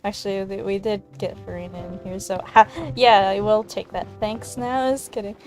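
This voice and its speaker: A woman giving casual, excitable and joking commentary as she plays a story game. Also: medium pitch, normal speed, soft background music. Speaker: gamer reacting to a story game.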